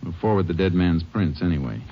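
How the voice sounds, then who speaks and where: An actor playing a methodical, matter-of-fact Texas Ranger in an old-time radio western, speaking in a measured, deliberate way. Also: male voice, low-pitched, dull audio, a little hiss or hum, lightly acted. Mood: methodical, focused. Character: calm, methodical male Texas Ranger investigator. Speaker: lead actor and narrator in a western radio drama. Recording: old radio recording with music.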